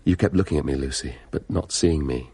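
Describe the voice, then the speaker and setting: A man's voice: a bass voice, fairly quick, creaky, warm tone, slightly rough, dry recording, full character voice. An actor playing a grave, brooding middle-aged English father who recounts events in a radio play. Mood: brooding, intense. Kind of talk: radio play acting, hushed narration.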